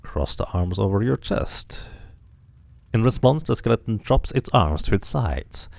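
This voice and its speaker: A man's voice: fairly low pitch, animated, measured pace, gravelly, a little mellow, dry recording. A man doing a casual game playthrough, musing to himself and to viewers and reading narration aloud.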